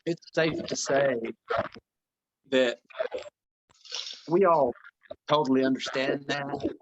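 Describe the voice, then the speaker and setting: A man's voice: mid-range voice, steady tone, slow pace. A man in his forties co-hosting a casual podcast, speaking in a loose, slangy way. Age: 40s. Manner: casual, slangy, calls people bro. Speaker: podcast co-host. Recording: dull, noisy call audio.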